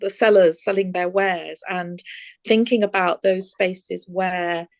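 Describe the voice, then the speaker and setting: Woman, lecturer, fielding questions, slightly gravelly, dry close sound, hesitant, video call. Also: fairly low pitch, steady tone, slow pace, no room sound. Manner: thoughtful, hesitant with many fillers. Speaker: guest lecturer. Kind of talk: answering audience questions.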